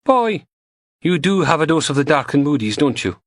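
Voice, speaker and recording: A man's voice: on the high side, big pitch swings, moderate pace, no echo, studio quality, voice pushed up. A man voicing a cheeky, teasing young goth woman who works in forensics.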